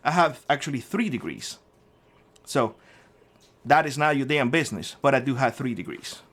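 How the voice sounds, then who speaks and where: A man's voice: medium pitch, animated, normal speed, fairly bright tone. A middle-aged man from Cuba with a Cuban Spanish accent, hosting a prepper vlog and ranting combatively at a commenter, with frequent swearing. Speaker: prepper channel host.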